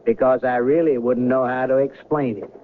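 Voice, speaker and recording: An actor playing a calm, courteous and evasive train conductor in a radio drama. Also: male voice, medium pitch, normal speed, close to an everyday voice. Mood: calm, cryptic. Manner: polite, unruffled, cryptic. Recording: noisy, narrow-band old radio recording.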